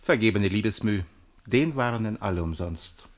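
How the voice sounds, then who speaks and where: A man's voice: fairly low pitch, expressive, normal speed. A man playing a scheming, imperious duke with a sardonic manner in a German radio play. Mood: cold, commanding. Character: scheming, haughty duke and general, cold conspirator.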